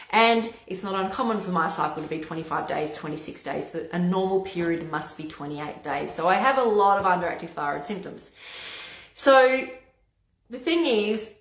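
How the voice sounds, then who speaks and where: A woman naturopath with a hoarse, husky voice, chatting casually to camera and often stumbling over and restarting her words. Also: on the low side, a bit bright. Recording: echoey room.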